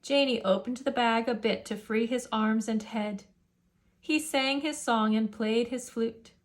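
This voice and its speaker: A woman with a high voice reads a rhyming story for children. Her pace is slow and measured, with expressive storyteller phrasing. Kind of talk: rhyming picture-book read-aloud for children.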